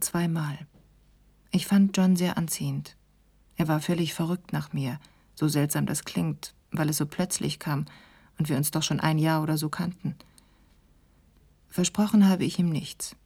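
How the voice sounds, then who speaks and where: Earnest confessional lover reading her letter, radio drama, dark husky voice, reflective. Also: on the low side. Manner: reflective, candid.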